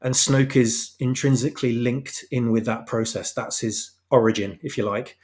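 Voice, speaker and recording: A man speaking as an author being interviewed, explaining casually in a halting but emphatic way, repeating phrases for stress. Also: medium pitch, steady tone, darkish tone. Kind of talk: casual explanatory chat about own story.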